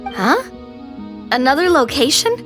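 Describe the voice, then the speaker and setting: A spirited young lady in an anime game, high in pitch, bubbly and kindhearted. Music plays clearly behind her. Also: very animated, a little slow, a bit bright, exaggerated voice. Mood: excited.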